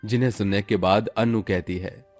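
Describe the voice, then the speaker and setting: A man's voice: on the low side, steady tone, normal speed, a little mellow, no echo, faint music behind. A man narrating a scripted moral story as the storyteller.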